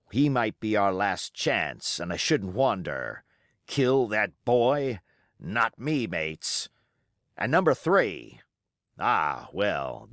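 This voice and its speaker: A man's voice: lowish voice, a bit bright, no echo, clean studio sound, heavily acted. A man voicing a cunning, scornful pirate ringleader in an audiobook, taunting and emphatic with rhetorical questions. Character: Long John Silver, scornful cunning male pirate ringleader.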